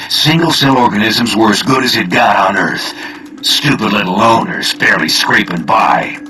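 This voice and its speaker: A menacing, middle-aged spymaster in a video game. His voice sits low with a hard, forceful edge. He is cocky and coaxing as he preaches for the hive mind, with music behind him. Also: exaggerated voice. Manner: taunting, cocky, persuasive. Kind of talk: video game cutscene acting.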